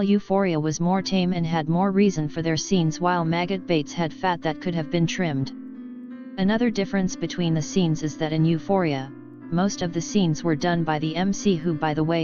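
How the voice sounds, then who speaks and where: A woman's voice: fairly low pitch, steady tone, moderate pace. A woman narrating a scripted video review in a blunt, opinionated way, with swearing. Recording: dull lo-fi recording, loud music behind.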